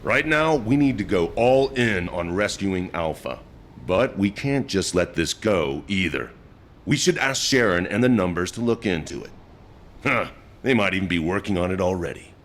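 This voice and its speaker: A man's voice: deep voice, animated, moderate pace, fairly bright tone. An actor playing a blunt, practical male squad leader. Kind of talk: game cutscene voice acting.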